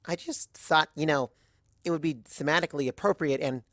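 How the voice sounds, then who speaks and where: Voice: mid-range voice, a little fast, fairly bright tone, no room sound, clean studio sound, natural voice. A narrator voicing an anxious, brainy young female pony who talks in wordy, awkward bursts.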